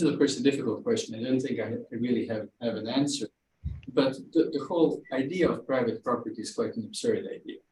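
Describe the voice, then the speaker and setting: A man speaking on an academic panel, halting and often repeating his words while he thinks. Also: mid-range voice, warm tone. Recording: echoey room, distant mic, faint hiss.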